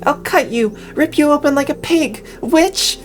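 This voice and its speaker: A woman's voice: sits high, a little slow, pitched above the performer's own voice. A woman voicing a terrified, furious young village woman in a visual novel, crying out with desperate defiance. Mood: frightened defiance. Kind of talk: visual novel character acting. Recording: music behind voice.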